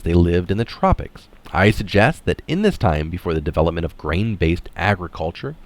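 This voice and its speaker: A man reading an article aloud in narration style, dropping in casual, opinionated asides of his own. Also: sits low. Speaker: reader of an article adding his own comments.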